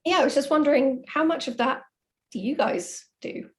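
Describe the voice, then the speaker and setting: A woman's voice: high-pitched, moderate pace. A woman chatting casually as a writer and co-host, asking questions with nerdy enthusiasm. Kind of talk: casual chat.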